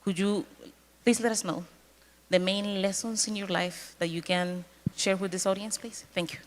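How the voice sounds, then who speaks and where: A woman moderates a panel discussion with a polite, formal manner. She asks the speakers to share their thoughts and introduces them in turn. Her pitch sits in the middle range. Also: steady tone, moderate pace.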